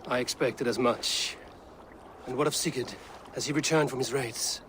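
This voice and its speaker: An actor voicing a steady male Viking raider hero with a measured, dry-witted delivery in a video game cutscene. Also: mid-range voice, background noise, lightly acted. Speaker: game protagonist.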